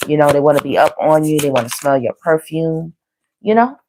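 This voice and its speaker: A woman with a low, hoarse voice hosts a live tarot reading. She talks casually and colloquially to her viewers, often checking in as she interprets the cards. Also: animated, fairly quick, noisy background. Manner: colloquial, frequently checking in with "you know" and "okay". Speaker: tarot reader hosting a live online reading.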